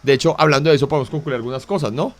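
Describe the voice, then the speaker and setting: A man's voice: mid-range voice, rapid-fire, faint music behind. A man with a Colombian accent, co-hosting an anime talk show in a casual, chatty way, full of fillers and tag questions. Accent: Colombian Spanish. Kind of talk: casual explanatory chat.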